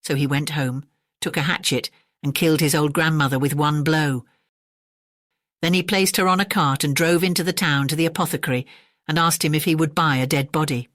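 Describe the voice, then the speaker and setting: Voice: mid-range voice, fairly even intonation, brisk, fairly bright tone, dry recording, clean studio sound. A narrator reading a scripted fairy tale as an audiobook storyteller.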